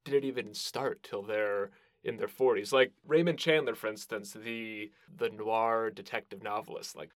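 A man's voice: fairly low pitch, fairly even intonation, normal speed, no echo. A man interviewing in a casual, conversational style, agreeable and a little hesitant in his phrasing. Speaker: podcast host, interviewer.

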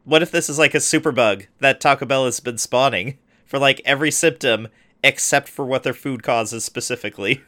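Bright-voiced teasing podcast co-host man. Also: medium pitch, brisk. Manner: teasing, wry, laughs often. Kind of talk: casual chat.

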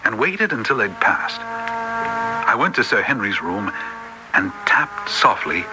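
An actor playing a loyal, cautious gentleman in a vintage radio drama, earnest and a little hesitant. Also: on the high side, very animated, takes it slowly, music in the background. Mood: suspenseful narrating. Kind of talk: old-time radio drama acting and narration. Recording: noisy vintage radio recording.

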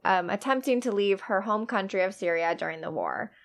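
A woman at a middle pitch hosting a podcast. She reads her intro in a polished, scripted way, then moves on to questions for her guest. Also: a little fast. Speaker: podcast host.

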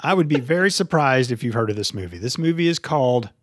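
A man's voice: mid-range voice, animated, quick pace, no room sound, studio quality. A man in his fifties hosting a movie podcast, chatting casually and swearing freely. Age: 50s. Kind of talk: casual, profane podcast chat.

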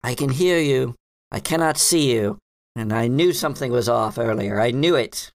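A man's voice: medium pitch, expressive, moderate pace, no echo, studio quality, natural voice. A man voicing a stern, suspicious female librarian in a tabletop game, imperious and indignant. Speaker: game master voicing a character.

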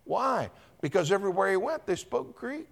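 A man's voice: high voice, sing-song, moderate pace, gravelly. A man, a pastor, teaching a Bible lesson in church, quizzing the congregation and calling on people by name. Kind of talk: interactive Bible teaching with quiz questions.

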